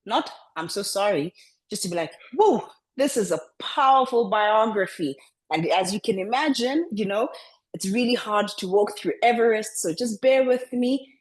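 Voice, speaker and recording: A woman coaching public speaking over a video call, talking in a deliberately lowered register and often repeating words as she restarts. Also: animated. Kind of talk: online coaching session.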